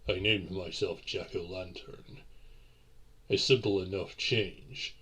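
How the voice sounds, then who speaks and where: A man playing a centuries-old vampire lord and courtly boyfriend in a romantic audio roleplay, with formal, old-fashioned diction and a husky voice. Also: deep voice, steady tone, slow, bright, forceful tone, exaggerated voice. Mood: measured, confiding.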